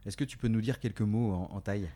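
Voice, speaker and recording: A man's voice: fairly low pitch, brisk, dark tone. A man hosting a radio interview, asking questions in a hesitant way with many fillers and restarts. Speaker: radio show host. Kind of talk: interviewing a guest.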